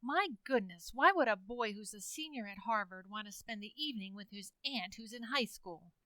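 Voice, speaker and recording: A woman playing a dreamy, dramatic high-school girl, plaintive and gushing, in old-time radio comedy style. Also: lively intonation, normal speed, sharp tone. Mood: disappointed.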